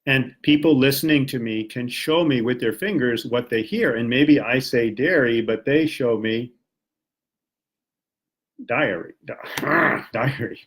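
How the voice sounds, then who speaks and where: A man's voice: medium pitch, a little slow, a bit bright. Chatty American man teaching English. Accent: General American. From Washington, D.C., United States. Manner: friendly, chatty explaining with rhetorical questions. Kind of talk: live-stream English lesson.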